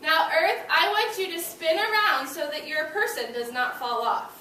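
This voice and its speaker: High-voiced woman teacher in an echoey classroom. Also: animated, moderate pace, sharp tone. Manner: instructive, prompting children to repeat after her. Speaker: elementary school teacher. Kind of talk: interactive classroom read-aloud lesson to young children. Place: indoor school classroom. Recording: noisy echoey classroom, distant microphone. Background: room noise and children.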